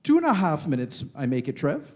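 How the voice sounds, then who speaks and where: A chummy cricket pundit played by a man in a radio play. The voice is high and animated, with droll, bemused chattiness. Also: brisk. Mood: chatty. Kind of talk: mock sports commentary in a radio play.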